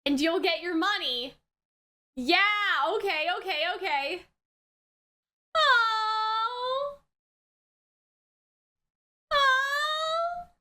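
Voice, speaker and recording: A woman hosts a casual reaction in a strikingly high-pitched voice. Her delivery is bright and sharp but slow-paced overall. She thinks out loud in excited, slangy exclamations. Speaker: reaction video host. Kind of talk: casual excited reaction commentary on an anime episode.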